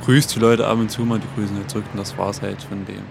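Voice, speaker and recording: A man's voice: lowish voice, flat delivery, fast, vocal fry, music in the background. A man giving a casual interview in an offhand manner with filler words.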